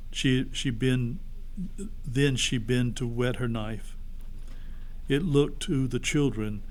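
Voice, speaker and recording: A man narrating a story expressively, as a pastor reading aloud to children. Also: mid-range voice.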